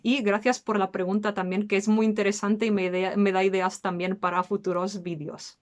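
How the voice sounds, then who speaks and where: A woman in her thirties speaks at a medium pitch with a slight accent from her native Russian. She has a chatty, informal way of talking, like a host answering viewers' questions on her own channel. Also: flat delivery, moderate pace. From Belarus, later raised in Spain. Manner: chatty, breaks into laughs. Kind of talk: casual question-and-answer vlog.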